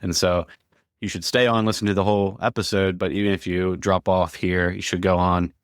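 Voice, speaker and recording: A man's voice: sits low, flat delivery, fairly quick, smooth, dry recording, studio quality. A man co-hosting a podcast, talking in a casual conversational style. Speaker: podcast co-host.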